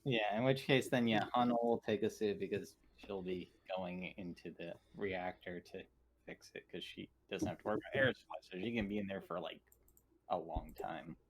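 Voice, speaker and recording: Lowish male voice, faint noise behind. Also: normal speed, rough recording. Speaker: tabletop roleplaying game player. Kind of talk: casual game discussion.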